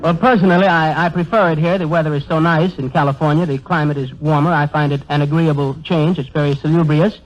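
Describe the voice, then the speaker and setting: Man playing effusive straight man, higher-pitched, old radio. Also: steady tone, quick pace, few pauses, natural voice. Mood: chatty, coaxing. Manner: flowery, effusive vocabulary, stammering repeats. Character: eager, wordy straight man of a comedy duo. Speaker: comedian in a double act. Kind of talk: 1930s radio comedy patter. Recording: dull 1930s radio broadcast recording.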